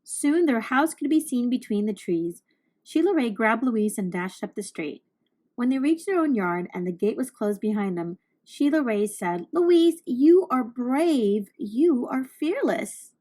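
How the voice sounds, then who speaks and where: A woman reading a children's storybook aloud, narrating with deliberate, expressive phrasing for young listeners. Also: medium pitch.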